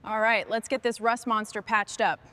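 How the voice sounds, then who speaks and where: A woman's voice: a little high, steady tone, normal speed, noisy background. A woman restoring machinery, giving short, clipped remarks and instructions as she works. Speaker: machine restorer presenting the work. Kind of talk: short work narration and crew instructions.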